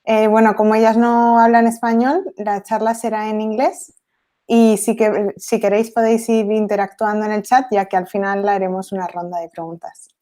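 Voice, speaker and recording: A woman's voice: medium pitch, level tone, a little fast, warm tone. A woman in her twenties with a Spanish accent, a student host introducing guests at a live online event. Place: live video call.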